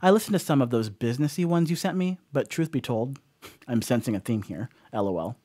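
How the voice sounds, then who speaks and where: A man's voice: mid-range voice, lively intonation, brisk, dark tone, dry recording, close to an everyday voice. Actor as rambling, sheepish stoner guy, dry sound. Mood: chatty, digressive. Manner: rambling, apologetic, casual asides. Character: rambling, sheepish stoner house-sitter, a young man. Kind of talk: audio horror monologue, conversational confessional.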